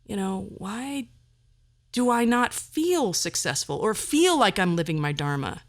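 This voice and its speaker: A woman's voice: mid-range voice, big pitch swings, a little slow. A middle-aged woman hosting a podcast, delivering a personal monologue in a wry, self-deprecating and theatrically emphatic way. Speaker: podcast host.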